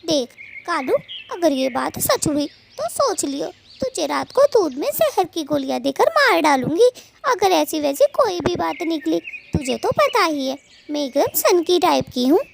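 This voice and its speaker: Tiny high-pitched fiery female bird. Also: very animated, measured pace, gravelly, a little hiss or hum, heavily acted. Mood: threatening. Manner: scolding, chatty, dramatic colloquial delivery. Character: fiery gossiping female cartoon bird, young, bossy, quick to threaten. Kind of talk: children's moral-story cartoon dubbing.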